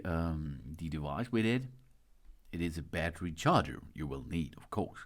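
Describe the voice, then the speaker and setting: A man presenting a product unboxing and explainer, partly reading technical text aloud, with halting delivery and stumbles over words. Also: fairly low pitch.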